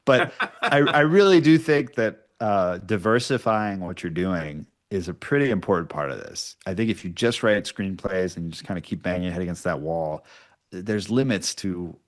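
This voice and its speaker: A man's voice: low-pitched, animated, moderate pace. A man speaking informally and a little self-deprecatingly as a guest writer-producer in a casual interview. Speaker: guest writer-producer of podcast audio dramas.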